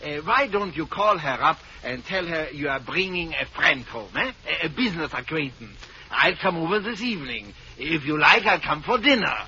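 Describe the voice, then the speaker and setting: An actor playing a zany, pompous male psychiatrist in a 1940s radio comedy, with a jokey, drawn-out delivery. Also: a little high, lively intonation, bright, forceful tone. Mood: mock-serious. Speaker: comic psychiatrist. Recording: dull, noisy old radio broadcast.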